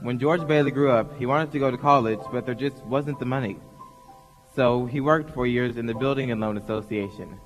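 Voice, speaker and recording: An actor playing a patient, kindly narrator telling a story in a stage radio play. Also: male voice, mid-range voice, brisk, close to an everyday voice. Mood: patient, storytelling. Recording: hall reverb, faint music.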